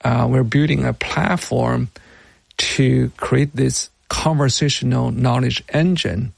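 A middle-aged man from China with a Chinese accent, a non-native English speaker explaining his work as a tech founder in an interview, with halting, deliberate phrasing. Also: mid-range voice, steady tone, gravelly, dry recording. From Beijing, China.

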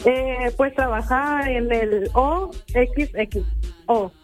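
A young woman calls in to a radio show, speaking slowly in a high voice. She is easygoing and quick to laugh, with faint music underneath. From Monterrey area, Mexico. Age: 20s. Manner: easygoing, laughs at the host's jokes. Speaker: radio caller.

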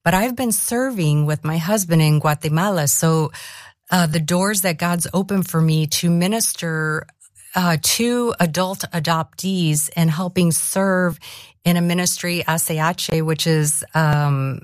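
A woman's voice: low voice, no room sound, studio quality. A middle-aged woman from South Korea, a missionary and author, sharing her faith story in an earnest, reflective way with frequent laughter. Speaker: interview guest, missionary author. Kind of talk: personal testimony in a faith interview.

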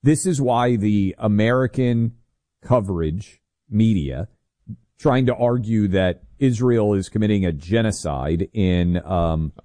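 A man's voice: fairly low pitch, slow, mellow, no room sound. A man co-hosting a political talk radio show, making pointed critical remarks with frequent fillers.

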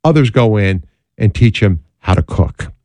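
Earnest low-voiced male talk show host. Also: animated, unhurried, darkish tone, no room sound. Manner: earnest, preacher-like, conversational with fillers. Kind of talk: talk show monologue and interview. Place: studio.

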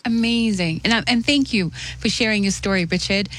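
A woman's voice: mid-range voice, fairly quick, fairly bright tone. A woman hosting a radio show, upbeat and welcoming, sometimes stumbling over words as she introduces and questions a guest. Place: radio studio.

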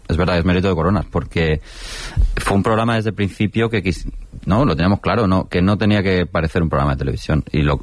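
A man who writes for a comedy show, chatting informally in an interview and checking for agreement as he goes. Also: low-pitched. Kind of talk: casual interview chat.

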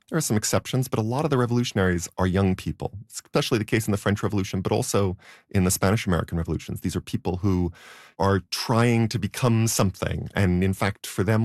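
A man being interviewed as a historian, explaining at a measured pace. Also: sits low, darkish tone, no room sound, clean studio sound. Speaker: historian guest. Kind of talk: radio interview answers.

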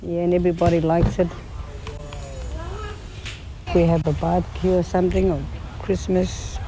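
A woman's voice: fairly low pitch, little pitch movement, moderate pace, background noise. A middle-aged woman, a town camp resident chatting plainly and proudly in an interview. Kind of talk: interview testimony.

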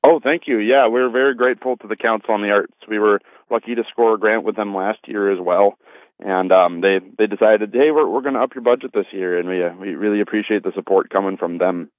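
A man's voice: fairly low pitch, fairly quick, no echo. A man speaking over the telephone as an interview guest, earnest and polite in a conversational style. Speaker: phone-in guest, festival organiser. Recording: thin, narrow phone line.

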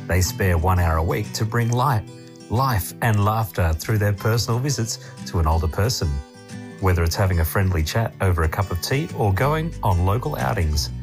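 A man giving a gentle, earnest ad voice-over. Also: lowish voice. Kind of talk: scripted ad narration. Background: music.

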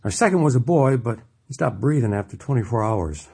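A man's voice: mid-range voice, animated, brisk, gravelly, mellow, husky. An actor playing a devout older father, a reformed drinker, narrating his life story in an earnest, emotive way for an audio drama. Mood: sober reflection. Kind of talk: dramatized first-person narration. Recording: music bed under the voice.

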